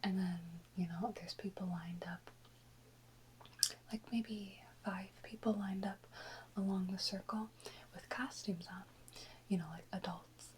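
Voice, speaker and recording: A woman whispering softly and close to the microphone in an informal, rambling ASMR chat with unhurried pauses. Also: medium pitch, steady tone, rough recording. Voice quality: soft unvoiced whisper. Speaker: ASMR video creator. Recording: close whisper with faint background noise.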